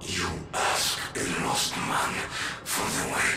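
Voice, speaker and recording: A man playing a bitter, dying, proud father in a video game cutscene, speaking gravely and haltingly in a formal, breathy voice. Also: roomy sound, recorded from a distance, noisy background, natural voice. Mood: solemn, resigned.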